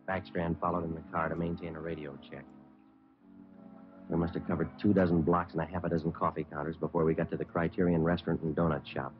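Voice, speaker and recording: A man playing a terse, matter-of-fact police detective who narrates his case deadpan in an old radio crime drama. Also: low voice, fast, lightly acted. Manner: deadpan, understated. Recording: muffled old radio recording with music.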